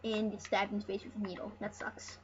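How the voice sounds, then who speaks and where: An excitable, rambling host giving casual, jokey commentary over a video game. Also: medium pitch, fast talker. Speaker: host of a gaming channel. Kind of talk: casual let's play commentary. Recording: dull lo-fi mic with loud noise.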